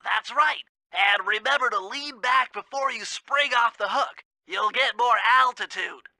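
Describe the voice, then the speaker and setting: A young, brainy turtle sidekick, nervous and precise. The voice is nasal, high and very bright, recorded dry for a cartoonish game. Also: male voice, unhurried, dry recording, heavily acted. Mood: earnest briefing. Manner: earnest, precise, easily panicked. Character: nerdy, anxious young male turtle brain.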